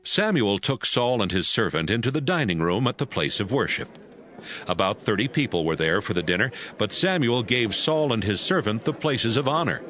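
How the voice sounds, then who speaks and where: A man narrating scripture from a script in a measured storytelling manner. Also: on the low side, animated, fairly bright tone.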